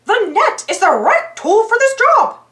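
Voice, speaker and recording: A woman voicing a cheerful, helpful male cartoon mouse, in a high, bright, eager tone. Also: very animated, unhurried, full character voice. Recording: roomy echo.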